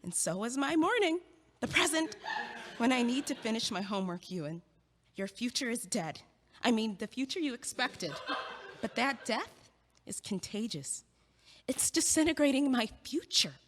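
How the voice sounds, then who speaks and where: An actress playing an impatient young female student who talks in a brisk, blunt, dryly logical way in an audio drama. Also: a little high, lively intonation, in a large hall, background noise, natural voice.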